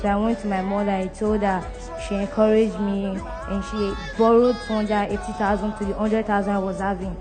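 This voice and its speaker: Earnest Nigerian woman giving testimony. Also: mid-range voice. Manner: plain, earnest, with restarts.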